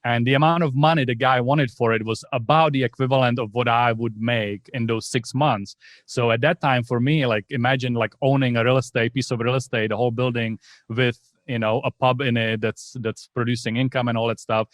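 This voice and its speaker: Man, 40s, Czech accent, podcast guest, relaxed, smooth voice. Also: mid-range voice, fairly quick, no echo, studio quality. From Czech Republic. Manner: relaxed, casual with fillers. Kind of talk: conversational storytelling.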